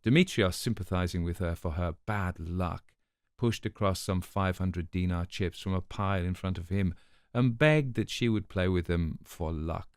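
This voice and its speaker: A man narrating a crime story from a script in a measured, articulate, dramatised way. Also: deep voice, dry recording, clean studio sound.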